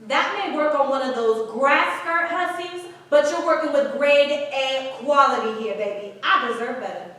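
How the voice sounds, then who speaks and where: A woman's voice: high voice, normal speed, a bit bright. A woman in a staged play reading playing a proud, defiant nightclub singer with a slangy, cutting, sardonic manner. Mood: proud, scornful. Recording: distant, very echoey room.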